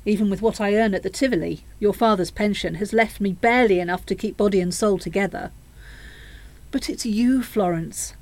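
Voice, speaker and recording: A woman's voice: mid-range voice, close to an everyday voice. A woman playing a bitter, harsh older widowed mother in a radio play.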